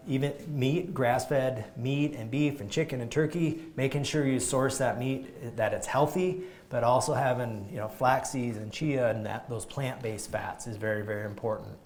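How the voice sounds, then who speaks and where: Male chiropractor giving a live talk to a group, energetic, emphatic, average-pitched voice. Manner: energetic, emphatic, frequent restarts.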